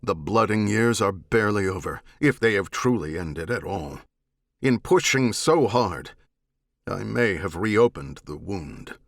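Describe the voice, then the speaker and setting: Male narrator as alien warrior leader, low voice, solemn, proud, weary, formal, audiobook dialogue. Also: expressive, a little slow, creaky, fairly bright tone, no echo, clean studio sound, heavily acted. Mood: regretful.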